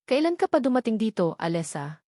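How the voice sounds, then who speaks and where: A woman's voice: on the high side, big pitch swings, brisk, mellow, no echo, studio quality. A woman voicing a friendly, casual young man, a childhood friend in an audio story.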